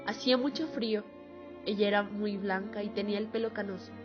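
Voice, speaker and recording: A woman acts out a solemn female veteran bearing witness in a radio play. Her pitch is average for a woman. Faint music runs behind the slightly dull recording. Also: steady tone, brisk, natural voice. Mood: grim testimony. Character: female war veteran, solemnly testifying to atrocities. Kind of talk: dramatic radio monologue. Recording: muffled lo-fi audio under music.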